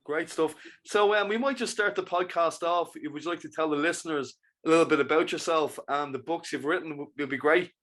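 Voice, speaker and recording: A man's voice: fairly high pitch, a bit bright. Upbeat man hosting a podcast. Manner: upbeat, encouraging, quick to praise; halting questions with restarts. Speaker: podcast host. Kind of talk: casual interview chat.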